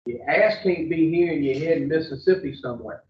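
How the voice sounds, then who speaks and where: A man's voice: a little high, fairly quick. A man, bluntly questioning new clients at an addiction treatment intake. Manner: blunt, confrontational, drawling. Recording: far-off phone-like audio in an echoey room.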